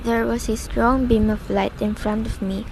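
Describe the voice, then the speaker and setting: A woman's voice: fairly high pitch, steady tone, normal speed, background noise, close to an everyday voice. A woman playing a melancholy young female patient, narrating her story in a scripted radio play. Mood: wistful.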